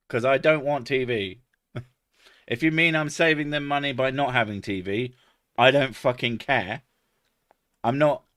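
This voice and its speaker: A man's voice: a bit bright, dry recording, clean studio sound. A man, chatting casually as a podcast host, swearing freely and laughing at himself. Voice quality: deep natural voice. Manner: swears freely, laughs often, self-mocking. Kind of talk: casual chat.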